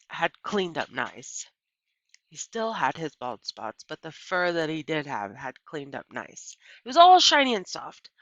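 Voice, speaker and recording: A woman's voice: deep voice, a bit bright, dry recording. A woman narrating a children's book expressively in a dry, slightly dull home recording. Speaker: story reader.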